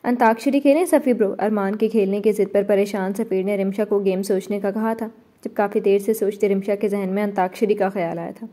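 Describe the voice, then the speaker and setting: A woman narrating a romantic novel in a steady, even audiobook reading. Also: medium pitch, a little fast.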